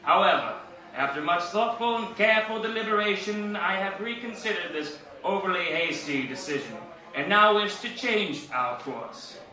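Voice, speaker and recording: Male actor as lofty 1940s president, solemn, formal oratory, radio parody, higher-pitched, distant and very echoey. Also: lively intonation, normal speed, a crowd in the background. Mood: grave, resolute. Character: President Roosevelt, solemn, lofty male statesman giving fireside chat.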